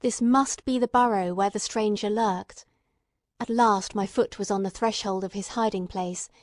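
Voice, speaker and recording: A woman reading scripted literary narration as an audiobook narrator, in a measured, carefully phrased storytelling manner. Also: mid-range voice, steady tone, dry recording, studio quality.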